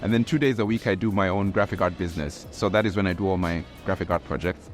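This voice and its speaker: A young man from Tanzania, a graphic artist, talking in a relaxed, reflective way with many restarts and fillers. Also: low-pitched, fast talker. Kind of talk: casual interview talk. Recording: faint music underneath.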